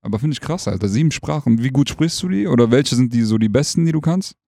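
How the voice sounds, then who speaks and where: A man's voice: medium pitch, expressive, fast talker, vocal fry, dark tone, dry recording, studio quality. A young man hosting a podcast, chatting casually and with plenty of slang. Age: young adult. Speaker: podcast host, interviewer.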